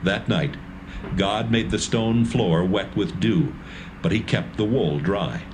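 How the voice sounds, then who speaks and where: A man narrating a dramatised Bible reading in a measured, expressive way. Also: lowish voice, noisy background. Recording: music underneath.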